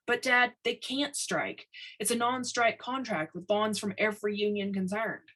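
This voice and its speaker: A harried construction boss read by a woman in a science fiction storybook, stubborn and blunt. He speaks emphatically in a slightly husky voice. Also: medium pitch, moderate pace, a bit bright, close to an everyday voice. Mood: worried.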